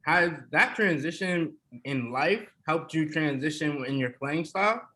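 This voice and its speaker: A man's voice: fairly high pitch, expressive, a little slow, bright, forceful tone. A male reporter who sums up the answers so far before asking his question. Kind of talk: press conference question.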